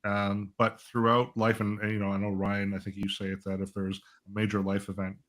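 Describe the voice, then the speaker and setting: Guy with a lowish voice, advisor on a live panel, explains stuff in a chatty back-and-forth way. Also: completely flat delivery, brisk, fairly bright tone. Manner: explanatory, uses acted-out client dialogue and rhetorical check-ins. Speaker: financial advisor and show panelist. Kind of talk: conversational live panel talk.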